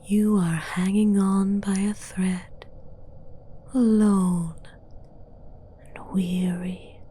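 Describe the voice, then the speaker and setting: A woman voicing a haughty, seductive female demon villain in a whispered, breathy ASMR fantasy roleplay, speaking formally and with condescension. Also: medium pitch, fairly even intonation, mellow, a little hiss or hum. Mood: weary, menacing. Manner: slow, deliberate, condescending, formal.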